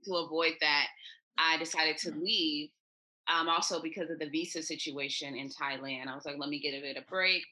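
An American woman with a crisp, bright tone that sits a touch low. She tells her stories in an upbeat, chatty way, as a guest on a remote call. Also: steady tone, moderate pace, rough recording. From United States. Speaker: podcast guest, expat traveler. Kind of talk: casual interview chat.

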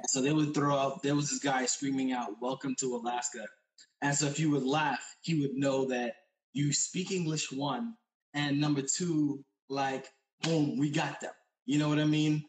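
Male interview host, easygoing and slangy, rambling questions, echoey room. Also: mid-range voice. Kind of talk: casual interview chat.

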